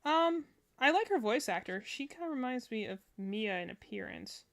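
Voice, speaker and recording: A woman's voice: fairly high pitch, expressive, moderate pace. A woman hosting a casual reaction livestream, thinking aloud in a rambling way. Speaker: streamer, reaction host.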